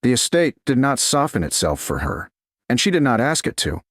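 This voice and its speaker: A man giving measured, dramatic scripted narration of a storybook romance. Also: mid-range voice, sing-song, a bit bright, no room sound, clean studio sound.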